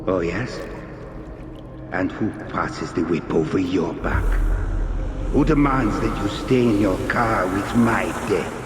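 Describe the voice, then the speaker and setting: An actor playing a contemptuous, fanatical priest villain in a video game, sneering and theatrical. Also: male voice, deep voice, slow pace. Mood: taunting. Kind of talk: video game cutscene acting. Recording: echoing hall, music behind.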